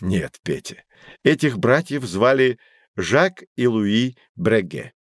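A man's voice: lowish voice, big pitch swings, takes it slowly, no echo, studio quality, full character voice. A man playing a learned, patient guide who explains things to children in formal, didactic phrases in an educational audio drama. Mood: explanatory.

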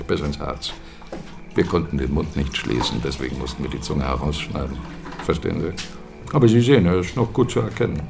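Very deep, gravelly doctor. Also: male voice, full character voice. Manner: clinical, bluntly explanatory. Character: matter-of-fact male doctor embalming a corpse, briskly clinical. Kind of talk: radio drama acting. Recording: music behind the voice.

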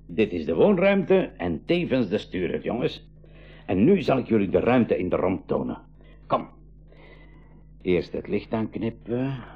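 A man's voice: fairly low pitch, very animated, unhurried, pauses often, soft background music. A man playing an eccentric, kindly inventor uncle in an educational radio drama, with a Flemish accent and a theatrical, teasing way of explaining. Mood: enthusiastic. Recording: dull 1980s radio drama recording.

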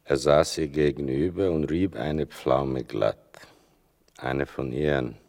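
An actor playing an older narrator in a literary radio play, gravelly and deliberate, with a bitterly ironic, mournful manner. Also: male voice, a bass voice, darkish tone, full character voice. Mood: grave, restrained. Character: sardonic, mournful male narrator telling his mother's wartime story.

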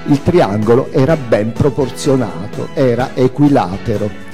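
Man, author narrating own memoir, dramatic phrasing, lively intonation, long hall reverb, background music. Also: medium pitch, a little slow. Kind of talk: expressive theatrical reading of autobiographical prose.

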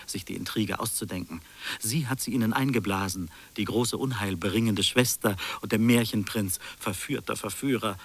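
An actor playing a mocking, superior interrogator in a literary radio drama, theatrical, moving between soft irony and sharp emphasis. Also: male voice, medium pitch. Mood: insinuating, mocking. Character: sardonic, accusing male interrogator, mocking and superior.

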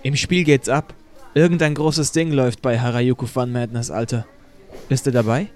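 A cocky teenage gamer boy, played by a man in an audio drama. His voice sits at an average male pitch. He speaks in slangy, urging phrases, like a persuasive ringleader. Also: fairly even intonation, moderate pace, darkish tone, lightly acted. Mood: eager, urging.

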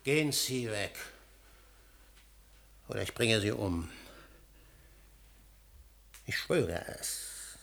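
A domineering scholar in a German radio play, a husband ruled by his obsession. His voice is bright and forceful, and he speaks with intense, commanding emphasis. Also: on the low side, lively intonation, extremely slow, creaky, long pauses, heavily acted. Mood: menacing.

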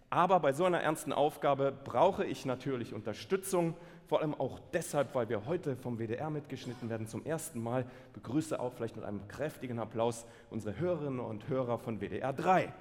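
A man's voice: medium pitch, animated, brisk, darkish tone. A man who is the conductor and co-presenter, hosting a carnival concert with jovial, mock-serious banter. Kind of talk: comedic carnival stage banter. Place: concert hall stage. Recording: live hall reverb with audience.

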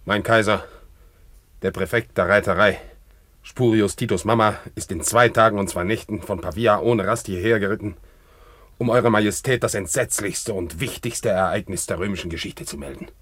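An actor in a German radio play as a dutiful Roman minister of war, speaking formally and earnestly with military gravity. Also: male voice, lowish voice, normal speed, fairly bright tone. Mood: urgent, formal.

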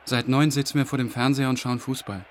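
A man played by an actor in a radio drama, defensive but casual, with a mid-pitched everyday voice. Clear ambient noise surrounds him as he talks. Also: fairly even intonation, quick pace, lightly acted. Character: man at home defensively explaining his evening, casual. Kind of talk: naturalistic radio play dialogue.

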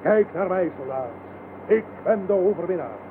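An actor playing a pompous, fanatical, self-glorifying general in a radio play, with a grandiose, speech-making delivery. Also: male voice, sits high, animated, normal speed. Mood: grandiose, boastful. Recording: noisy, dull 1970s radio recording.

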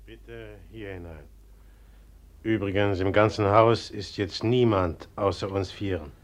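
A man's voice: on the low side, steady tone, a little slow, lightly acted. An actor in a radio drama playing a brooding, terse male host. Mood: terse, ominous. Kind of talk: German radio drama acting.

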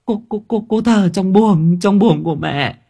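A man's voice: a little high, animated, normal speed, breathy edge, pitched above the performer's own voice. A man voicing a frightened, tearful young pregnant woman who stammers and pleads. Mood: terrified.

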